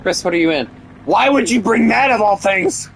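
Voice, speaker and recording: A man's voice: sits high, lively intonation, normal speed, creaky, fairly bright tone, rough recording. A young man with a Southern US accent, chatting casually with viewers while gaming, rambling and opinionated with lots of fillers. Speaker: gaming streamer. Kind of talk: casual gameplay chat with viewers.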